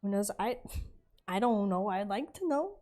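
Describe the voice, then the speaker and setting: A woman game streamer chatting informally and haltingly on a livestream, often repeating herself. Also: medium pitch, very animated, a little mellow. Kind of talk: casual livestream chat.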